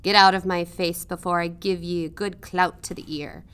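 A woman reader puts on a mean storybook uncle. He is gruff and threatening, with a loud, harsh delivery and a rustic manner. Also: lowish voice, little pitch movement, normal speed, voice pushed down, close to an everyday voice. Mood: angry, threatening. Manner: harsh, dialect "ye".

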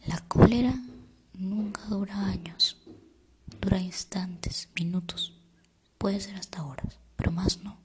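A young woman giving calm, reflective life advice in a soft ASMR-style whisper. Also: medium pitch, unhurried, noisy background.

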